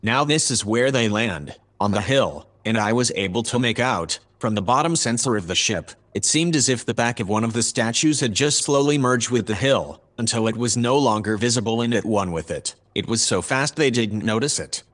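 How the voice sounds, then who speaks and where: A man playing a calm, formal artificial intelligence, reporting in a measured, dispassionate way in a sci-fi audio drama. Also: mid-range voice. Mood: detached.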